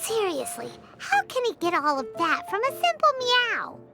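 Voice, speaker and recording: A woman voicing a tiny, childlike, excitable and cheeky girl companion in a video game. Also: extremely high pitch, moderate pace, creaky, over music, exaggerated voice. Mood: skeptical. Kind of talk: scripted video game dialogue.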